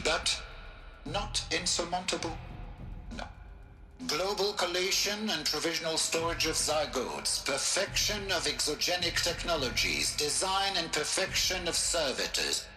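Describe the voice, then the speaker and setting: A formal, commanding director of a science program in a game, with a fairly high voice for a man. He speaks slowly and deliberately with weighty pauses. The sound is roomy, with music playing underneath. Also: bright, forceful tone, full character voice. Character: male head of a human genome preservation program, commanding. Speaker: program director giving a briefing. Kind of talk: game cutscene voice acting. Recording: roomy audio with music behind.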